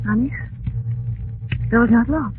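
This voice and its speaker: An actress playing a nervous but plucky young heroine, anxiously questioning, in old-time radio melodrama style. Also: female voice, fairly high pitch, slow pace. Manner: anxious thinking aloud, questioning. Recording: tinny band-limited old radio, music behind.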